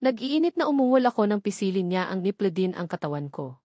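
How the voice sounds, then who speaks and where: A woman's voice: medium pitch, sing-song, quick pace, warm tone, no echo, studio quality. A woman reading a scripted romance novel aloud as a first-person narrator. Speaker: audiobook narrator.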